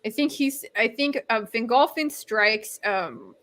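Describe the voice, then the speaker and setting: A woman's voice: a little high, lively intonation, slow pace, fairly bright tone. A woman hosting a casual book discussion in an informal, giggly and enthusiastic way. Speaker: discussion host.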